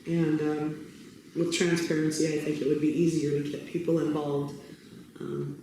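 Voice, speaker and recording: A woman speaking at a community meeting in a measured, hesitant way about local government. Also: deep voice, mellow. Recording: echoey room, background noise.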